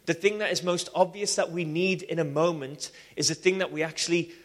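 A man from Liverpool with a Liverpool accent, preaching a sermon with personal testimony in an animated way, full of restarts and "um"s. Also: a little high, a little fast. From England. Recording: long hall reverb.

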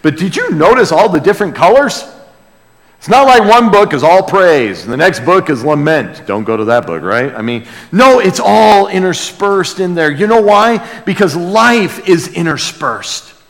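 American guy preaching, kinda higher voice, earnest and chatty, big echoey hall. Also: very animated, normal speed. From United States. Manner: earnest, emphatic, dropping to hushed asides, rhetorical questions. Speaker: pastor. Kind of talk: sermon, conversational Bible teaching. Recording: long hall reverb.

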